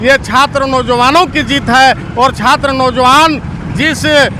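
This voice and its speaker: Very high-pitched male activist, noisy roadside. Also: steady tone, measured pace. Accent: Jharkhand. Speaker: activist, movement leader. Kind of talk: political interview statement. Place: outdoors at a road junction. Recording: noisy outdoor roadside recording. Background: loud traffic and vehicle noise.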